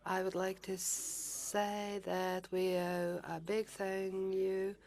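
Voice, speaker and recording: A woman chairing a conference who gives formal closing thanks in a measured way, pausing and restarting now and then. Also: medium pitch, darkish tone. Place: large conference hall.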